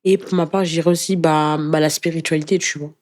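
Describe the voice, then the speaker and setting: Woman, lowish voice, soft dark timbre, interview guest, earnest, halting phrasing, conversational testimony. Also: fairly even intonation. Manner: halting, searching for words with repetitions. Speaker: interview guest, anti-racism activist. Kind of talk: personal testimony in casual conversation.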